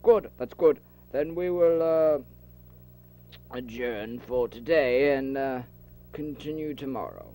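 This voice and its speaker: A man doing a brisk council chairman. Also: medium pitch, warm tone. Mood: authoritative. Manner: brisk gavel-banging authority, calling order. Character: male council chairman keeping order. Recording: audible hiss from an old cassette.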